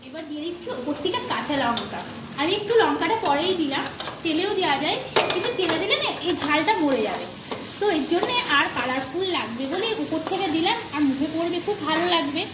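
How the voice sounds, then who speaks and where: A woman's voice: extremely high pitch, lively intonation, quick pace. A middle-aged woman chatting casually to viewers as she narrates her home cooking step by step, with little asides. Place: home kitchen. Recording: echoey kitchen with clear sizzling and stirring noise. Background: frying sizzle, stirring.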